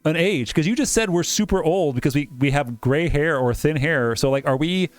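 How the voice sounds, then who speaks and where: A man's voice: fairly high pitch, normal speed, dry recording. A man doing a casual let's-play, reading game narration aloud and joking about the text as he goes. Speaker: game streamer reading a visual novel aloud.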